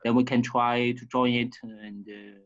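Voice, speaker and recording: A man speaking tentatively and haltingly as a participant in an informal work conference call. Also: mid-range voice, little pitch movement. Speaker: meeting participant. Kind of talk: informal work meeting talk. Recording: slightly dull conference call audio.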